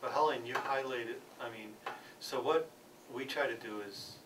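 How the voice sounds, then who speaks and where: A man presenting a peace program at a conference, speaking haltingly with many fillers and restarts. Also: mid-range voice. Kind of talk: conference panel talk. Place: church conference hall. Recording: distant, noisy conference recording.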